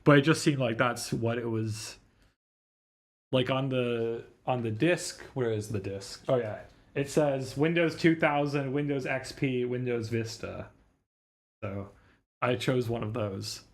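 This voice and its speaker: A man's voice: medium pitch, measured pace. A man giving casual live game commentary, rambling and thinking aloud. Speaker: streamer. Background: game music.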